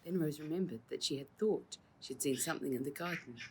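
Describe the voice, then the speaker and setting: A woman reading a children's story as its author, in a measured, expressive storytelling manner. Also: sits low, a little hiss or hum, rough recording. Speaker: author reading their own book. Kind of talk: children's audiobook narration.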